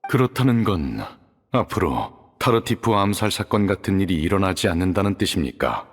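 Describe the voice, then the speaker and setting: A man voicing a calm, dignified male judge in a video game, speaking in a polite, grave, formal way. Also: sits low, normal speed. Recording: reverberant game audio with music.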